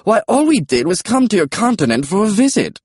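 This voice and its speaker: Storybook pterodactyl, played by a male narrator: courteous British scholar, raised pitch, lively swoops. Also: brisk, husky, no room sound, clean studio sound, exaggerated voice. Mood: earnest, proper. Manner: courteous, prim. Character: polite, scholarly male pterodactyl, mildly put out.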